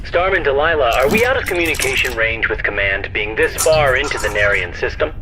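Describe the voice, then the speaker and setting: Man playing a literal-minded robot crewmate, lively pitch. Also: brisk, noisy background, lightly acted. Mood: dutiful, literal. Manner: formal, literal. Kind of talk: science fiction audio drama acting.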